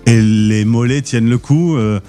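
A man hosts a podcast interview, putting his questions in a friendly, easygoing conversational way. His voice is mid-pitched and mellow, with faint music in the background. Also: unhurried. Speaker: podcast host.

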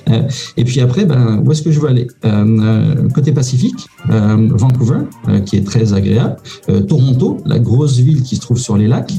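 A man giving casual advice as an immigration consultant, pausing often with fillers. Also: medium pitch, animated, vocal fry, dark tone, soft background music. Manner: hesitant, many "euh" fillers, informal.

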